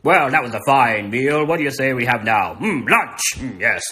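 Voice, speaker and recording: Man doing a pompous, idle English gentleman. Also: medium pitch, animated, moderate pace.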